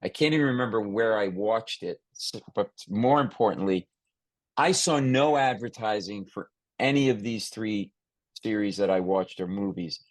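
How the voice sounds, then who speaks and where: American guy podcast host, lowish voice, dry sound, emphatic, kinda blunt, keeps restarting his questions. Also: normal speed, dry recording. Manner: emphatic, repeats and restarts phrases, long-winded questions. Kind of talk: conversational podcast interview.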